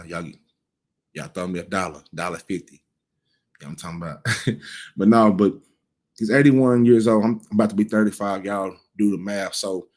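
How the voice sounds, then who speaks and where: A man's voice: lowish voice, a little mellow. A man in his thirties giving casual cultural commentary, blunt and profane, rambling with frequent restarts. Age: 30s.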